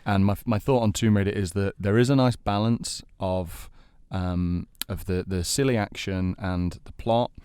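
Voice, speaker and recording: Man, deep voice, dry recording, podcast co-host, hesitant considered phrasing, casual debate. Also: fairly even intonation, normal speed, few pauses, dark tone, smooth, dry recording.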